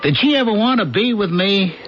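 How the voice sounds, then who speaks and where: An actor playing an anxious, frustrated man in his thirties in a 1980s radio drama, hesitant, stammering and excitable. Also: high voice, brisk, faint music behind. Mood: resentful. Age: 30s. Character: anxious, frustrated 35-year-old everyman worker mistaken for a god. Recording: dull old radio recording, noisy birdsong ambience.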